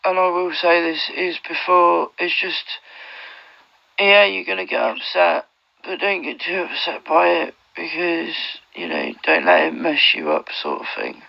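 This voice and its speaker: An adult with a heavily gravelly, fry-laden voice rambles through casual advice. The delivery swings widely in pitch at an unhurried pace, circling back on itself and peppered with swearing. It comes through dull walkie-talkie audio. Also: expressive. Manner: rambling, repetitive, frequent swearing. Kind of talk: casual advice chat over radio.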